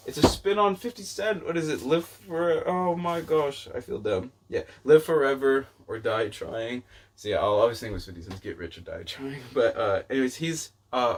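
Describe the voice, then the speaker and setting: A man talking casually to camera as a vlogger, in a rambling way that often doubles back mid-thought. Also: medium pitch. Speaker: book vlogger and channel host.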